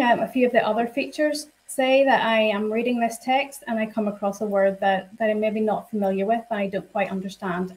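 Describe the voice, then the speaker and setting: A woman at her desk, talking through a screen-shared software demo for teachers. She explains each feature in a friendly, step-by-step way, with plenty of "um"s, and she sounds like she is speaking into a computer mic. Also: mid-range voice, low-quality recording. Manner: friendly and explanatory, many fillers. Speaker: software trainer.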